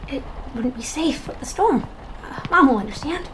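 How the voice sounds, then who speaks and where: A woman playing an anxious, grieving young girl in an audio drama, speaking in a halting, emotional way. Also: high-pitched, expressive. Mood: anxious, upset. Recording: clearly noisy, ambient sound behind.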